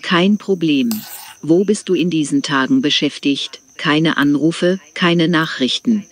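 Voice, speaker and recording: Slow, higher-voiced man in a practice dialogue. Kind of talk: scripted exam dialogue.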